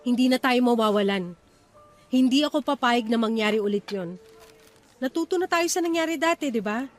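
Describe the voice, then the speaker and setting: A woman's voice: a little high, expressive, fairly quick, lightly acted. An actress playing a steadfast, comforting Filipino wife and mother in a Tagalog radio drama. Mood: firm, comforting. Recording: music under the voice.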